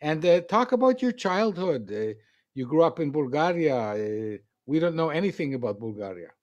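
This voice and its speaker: A man's voice: on the high side, very animated, moderate pace, darkish tone, highs cut off. A man hosting an interview show, playful and joking as he pushes his guest to talk.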